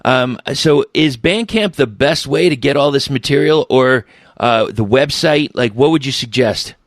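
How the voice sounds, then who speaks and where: A man's voice: mid-range voice, a little slow, dry recording, clean studio sound. A man hosting a casual podcast interview, talking in a loose, rambling way with the odd swear word. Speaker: podcast host.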